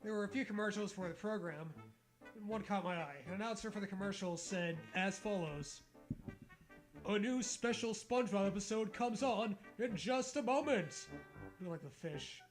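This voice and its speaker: A man's voice: sits high, moderate pace, faint music behind, poor audio. A man co-hosting a comic read-aloud of fan fiction, breaking into sarcastic, sweary casual banter. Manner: sarcastic, profane riffing.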